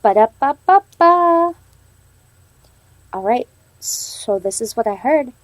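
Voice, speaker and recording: A woman reading aloud to a class as a teacher, pausing to ask questions and affirm answers. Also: on the high side, expressive, no echo, soft background music. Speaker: elementary teacher practicing a read-aloud lesson.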